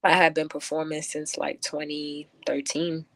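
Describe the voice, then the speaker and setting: A woman answering radio interview questions as a guest poet, thoughtful and halting, often filling with "like". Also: sits low, level tone, fairly bright tone, dry recording. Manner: thoughtful, halting, many filler likes. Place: close-miked radio show.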